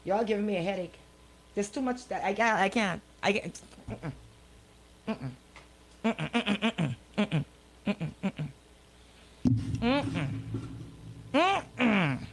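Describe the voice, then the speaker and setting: A woman's voice: mid-range voice, animated, slow, gravelly, pauses often, slight background noise. A woman hosting a casual live talk show, questioning people incredulously and repeating her words for emphasis. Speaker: radio show host.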